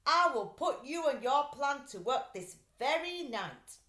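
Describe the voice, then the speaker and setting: A woman's voice: high voice, big pitch swings, unhurried, sharp tone, voice pushed up, full character voice. A woman voicing an important, fretful male museum director from a storybook, with emphatic, dramatic delivery. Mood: commanding. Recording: echoey room.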